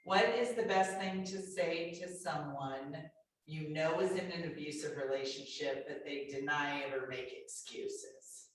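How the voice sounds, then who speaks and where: Moderator, loud projecting voice, far from the mic, very echoey room, faint noise. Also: medium pitch, measured pace. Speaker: moderator reading audience questions. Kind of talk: panel discussion.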